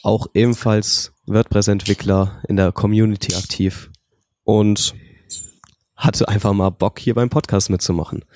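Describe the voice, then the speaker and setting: Twenty-something guy opening a chatty podcast, mellow tone, dead dry room. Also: fairly low pitch, steady tone, unhurried, no room sound. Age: 20s. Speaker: podcast co-host. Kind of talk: casual podcast introduction.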